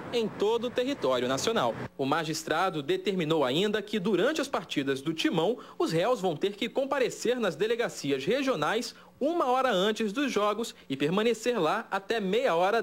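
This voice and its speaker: A young man reading a scripted news report in a measured, formal manner. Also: high-pitched, slight background noise.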